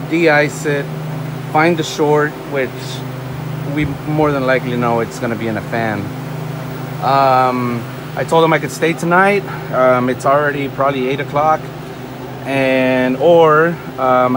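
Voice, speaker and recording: A refrigeration technician talking to viewers while he tests wiring in a store's walk-in cooler, thinking aloud as he works, recorded on a nearby phone. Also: a little high. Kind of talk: casual hands-on work vlog. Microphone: phone camera held or propped nearby. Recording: loud machinery and fan noise behind.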